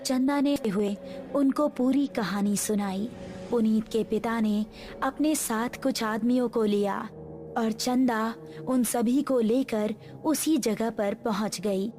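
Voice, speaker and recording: A woman's voice: a little high, takes it slowly, dark tone, soft background music. A woman reading a scripted horror story as its narrator.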